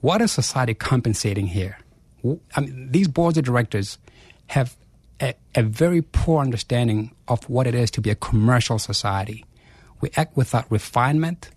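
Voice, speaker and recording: A man, a guest in a radio interview, arguing forcefully with rhetorical questions and repeated phrases. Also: mid-range voice, expressive, normal speed, slightly rough, no room sound. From South Africa. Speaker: chief investment officer, guest.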